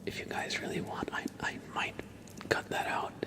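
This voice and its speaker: A man chatting casually as an ASMR livestream host in a soft, breathy whisper, with an informal, halting, rambling way of talking. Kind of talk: ASMR, casual live chat.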